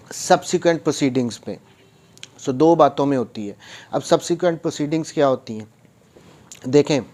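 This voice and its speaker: A man's voice: a little high, a little mellow. A man giving an explanatory law lesson as a lecturer, mixing English terms and phrases into his speech. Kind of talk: explanatory law lesson, reading statute then explaining with examples.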